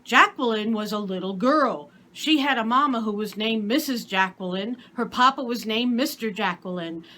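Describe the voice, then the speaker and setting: A woman's voice: on the high side, pushed and bright. A woman voicing an indulgent papa in a storybook, patiently telling his child a story.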